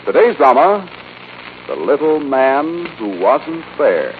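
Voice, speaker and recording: A man's voice: medium pitch, sing-song, slow. An American man working as a 1940s radio announcer, reading scripted copy with polished, dramatic delivery. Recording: tinny 1940s radio recording, faint noise.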